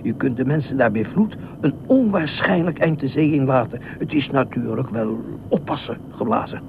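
A man's voice: medium pitch, lively intonation, normal speed, vocal fry. A man acting in a radio play as an eccentric, middle-aged self-appointed beach watchman, officious, rambling and easily indignant. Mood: musing, earnest. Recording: noisy, tinny phone-like radio drama recording.

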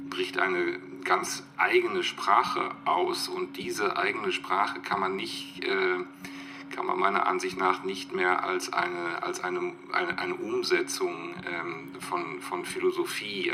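A man talking reflectively off the cuff, halting and searching for his words. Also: deep voice. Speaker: interviewed commentator. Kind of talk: spontaneous reflective talk. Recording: clear humming background noise.

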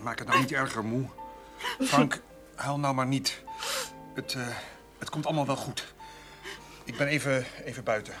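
An actor playing a sorrowful middle-aged man consoling family in a radio drama, halting and subdued. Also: mid-range voice, sharp tone, faint music behind, lightly acted.

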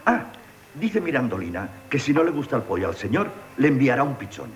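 An actor playing a timid, lovestruck, deferential manservant who speaks hesitantly, with a Castilian Spanish accent, in a radio play. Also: male voice, mid-range voice, sing-song, fairly quick, dull audio, music in the background, close to an everyday voice. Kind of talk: 1970s Spanish radio theatre acting.

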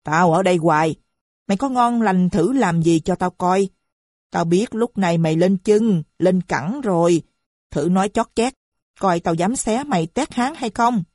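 A woman voicing a bitter, defiant middle-aged stepfather who answers back gruffly. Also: lowish voice, measured pace, a little mellow, no room sound, clean studio sound. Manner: gruff retorts, turning sorrowful.

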